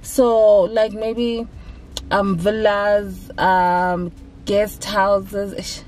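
A woman's voice: medium pitch, little pitch movement, drawn-out words, darkish tone, slight background noise, rough recording. A woman in her thirties with a South African accent, chatting casually and emphatically to camera in a car as a vlog host. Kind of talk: casual chat to camera with code-switching. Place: inside a car. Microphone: camera at dashboard distance.

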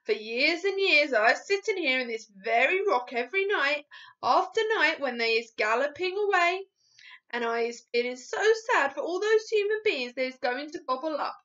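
A woman's voice: high voice, expressive, moderate pace, pushed and bright, voice pushed up. A woman voicing a kindly, sad and stubborn giant from a storybook, with rustic, muddled grammar and emphatic delivery. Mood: sad, resigned. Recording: dull lo-fi recording.

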